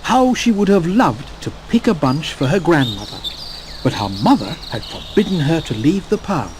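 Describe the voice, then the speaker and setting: Expressive high-pitched male fairy-tale narrator. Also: moderate pace, noisy background. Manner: expressive, wry storytelling. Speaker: storyteller.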